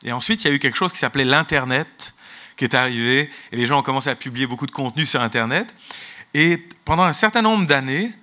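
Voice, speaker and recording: A man's voice: mid-range voice, fast, pushed and bright. A man whose first language is English, speaking as a tech executive at a business conference, often restarting and repeating words. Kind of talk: conference talk.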